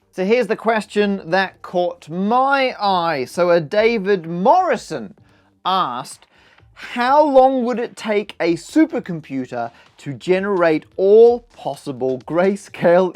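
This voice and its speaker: A man presenting an informal maths explainer to camera, animated and conversational, with dry, deadpan comic asides. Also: fairly high pitch. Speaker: science video presenter and comedian.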